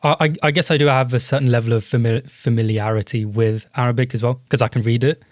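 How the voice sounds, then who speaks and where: A young man thinks aloud, hedging and restarting as he reflects in a relaxed podcast chat. His voice sits at an ordinary middle pitch. The recording is dry and close. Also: fast, no room sound. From Britain. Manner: hesitant, reflective, hedges with "I guess". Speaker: podcast co-host. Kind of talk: casual podcast discussion.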